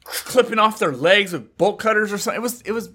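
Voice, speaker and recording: Man in his thirties, slightly high, bright forceful tone, profane rambling chat, video call. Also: animated, fast, breathy edge. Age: 30s. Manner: profane, rambling, restarts a lot. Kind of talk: casual chat.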